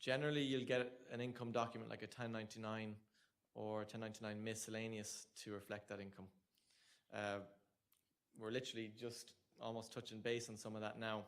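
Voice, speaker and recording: A man's voice: lowish voice, flat delivery, fairly quick. A young man with an Irish accent, presenting a tax information session to a room of students in a conversational, joking way. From Ireland. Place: lecture room with an audience.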